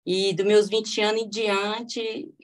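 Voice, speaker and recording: A woman's voice: mid-range voice, level tone, slow. A woman in her forties, a podcast guest, sharing a faith testimony in a hesitant, heartfelt way with many restarts. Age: 40s. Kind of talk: testimony in a casual interview.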